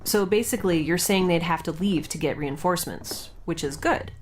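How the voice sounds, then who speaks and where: A woman's voice: lowish voice, normal speed, slight background noise, natural voice. A woman playing a quirky young scientist in a science fiction audio drama, informal and wry with a slightly hesitant delivery. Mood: wry, nervous.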